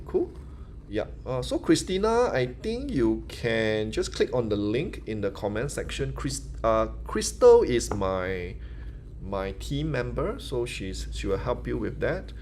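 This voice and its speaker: A man's voice: medium pitch, sing-song, a little slow, a little mellow, a little hiss or hum. A Singaporean man with a local English accent, answering questions on a live stream in a chatty, loose teaching style. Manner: chatty, loose phrasing with restarts and "you know". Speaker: manifestation teacher hosting a live Q&A.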